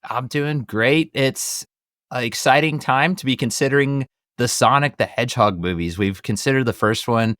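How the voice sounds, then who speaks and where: A man's voice: medium pitch, moderate pace, a bit bright, no echo, clean studio sound. A middle-aged man with an American accent hosting a casual podcast, chatty, upbeat and enthusiastic. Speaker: podcast host.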